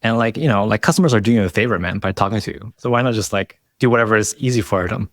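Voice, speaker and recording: A man's voice: on the low side, fast, a bit bright, dry recording. A man hosting a casual interview, talking informally and often saying "like" and "man". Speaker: interviewer, podcast host.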